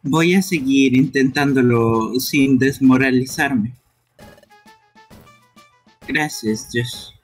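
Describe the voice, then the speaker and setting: A man plays a timid, self-doubting young fox creature in an adventure game, earnest and apologetic. The delivery is slow and a little husky, over game music. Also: medium pitch. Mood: determined. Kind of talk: live amateur game dubbing.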